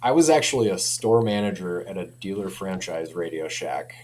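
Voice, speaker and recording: A man's voice: deep voice, expressive, moderate pace, darkish tone. A man chatting casually as a company representative explaining audio products, easygoing and colloquial, often saying "you know". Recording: clear background noise with hum.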